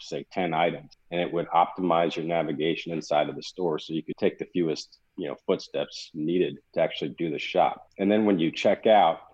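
Low husky-voiced man, casual interview talk. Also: a little mellow, highs cut off. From Europe. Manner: fast, conversational, frequent restarts. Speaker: guest executive. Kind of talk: podcast interview.

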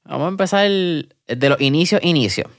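A man hosting a casual interview podcast, relaxed and tossing English words into his Spanish. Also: a little high, brisk, no echo, studio quality. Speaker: podcast host.

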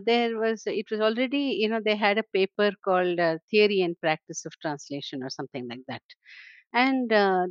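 A woman's voice: medium pitch, dry recording. Older Indian-accented woman guest, thoughtful and chatty, dry close sound, a little dull. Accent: Indian English. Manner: warm, frequent "you know" and soft laughs. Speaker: translator and scholar guest. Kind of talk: reflective conversational interview.

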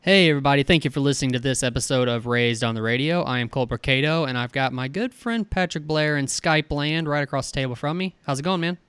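Man, podcast host, smooth clear voice, dry close sound, upbeat banter. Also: medium pitch, fast, few pauses, fairly bright tone, no room sound. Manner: upbeat scripted intro, then joking banter. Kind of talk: casual podcast chat.

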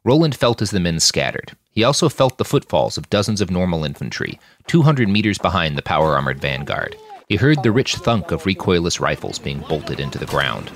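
A man narrating fiction in an expressive audiobook style, acting out the dialogue as he reads. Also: sits low, brisk. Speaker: fiction podcast author and narrator.

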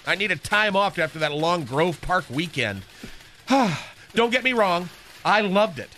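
A man's voice: sits high, big pitch swings, unhurried, bright, forceful tone, a little hiss or hum, full character voice. A man voicing a flamboyant, gossipy male receptionist in a radio comedy sketch, with a lilting, swooping delivery. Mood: catty. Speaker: show's receptionist character.

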